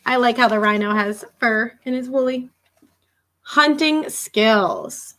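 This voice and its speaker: A woman teacher reading a children's book aloud in an expressive, emphatic way, adding asides and questions for her young listeners. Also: on the high side, takes it slowly.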